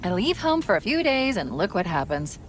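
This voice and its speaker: A woman voicing a quick-witted, wry AI companion in a video game, crisp and assured. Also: mid-range voice, big pitch swings, slight background noise, close to an everyday voice. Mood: wry, brisk. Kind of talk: cinematic game acting.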